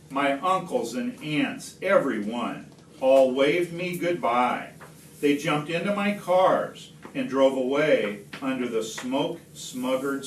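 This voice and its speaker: A man reading a rhyming picture book aloud expressively, slowly and deliberately, with dramatic pauses. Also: medium pitch. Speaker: read-aloud storyteller. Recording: roomy, distant phone capture, faint noise.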